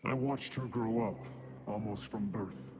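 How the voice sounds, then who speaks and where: A man's voice: lowish voice, unhurried, noisy background, lightly acted. An actor playing a brooding male demon lord in a video game cutscene, speaking tersely and intensely. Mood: tense. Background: loud game score music.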